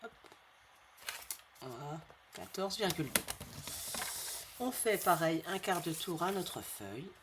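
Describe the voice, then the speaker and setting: A woman with a low voice and a slight head cold guides viewers through a craft tutorial. She chats casually and slows down to repeat each measurement. Clear background noise sits under her voice throughout. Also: expressive.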